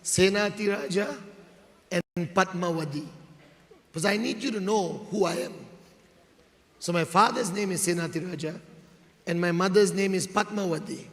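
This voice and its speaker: A man's voice: high voice, normal speed, pauses often, fairly bright tone. A man with a Malaysian accent gives a motivational storytelling speech, emphatic and repeating phrases as he checks in with his listeners. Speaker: motivational speaker. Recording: long hall reverb.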